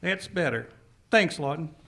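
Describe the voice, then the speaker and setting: A man's voice: fairly high pitch, animated, unhurried, very noisy. A man playing a wry, teasing small-town reporter with dry timing in a comedic audio drama. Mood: sardonic. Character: wry, teasing small-town newspaperman, sheriff's male sidekick.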